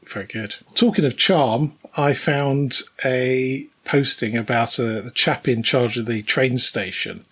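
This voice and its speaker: A mature British man with a slightly husky voice chats as a podcast co-host. He tells stories at a measured, conversational pace of thought, dropping in wry asides. His pitch is average for a man. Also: a bit bright. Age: middle-aged or older. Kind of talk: casual podcast chat with anecdotes.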